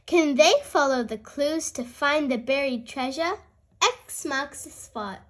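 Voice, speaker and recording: A young-sounding host reading a children's storybook aloud in a cheerful, expressive way, speaking directly to young listeners. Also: sits high, unhurried. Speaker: host and reader of a children's read-aloud show.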